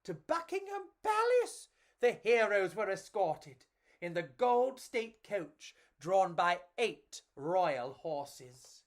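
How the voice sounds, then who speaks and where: A woman's voice: medium pitch, very animated, slow. A woman reading and chatting to children as a storyteller, in a theatrical, gushing and affectionate way.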